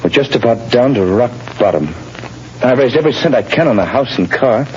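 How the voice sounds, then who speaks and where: A man's voice: mid-range voice, lively intonation, fairly quick, lightly acted. Guy acting a desperate jobless husband in old radio play, pleading, voice jumps around, hissy recording. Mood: downcast, resolute. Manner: earnest, pleading. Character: desperate, earnest unemployed family man. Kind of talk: 1930s radio drama acting. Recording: noisy, muffled old radio broadcast recording.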